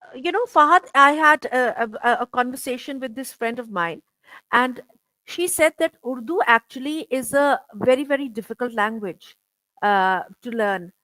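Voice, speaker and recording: A woman's voice: fairly high pitch, sharp tone, no room sound, poor audio. Chatty woman on a video call. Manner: chatty, halting, full of "you know" and repeats. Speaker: interviewer. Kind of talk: casual conversational interview.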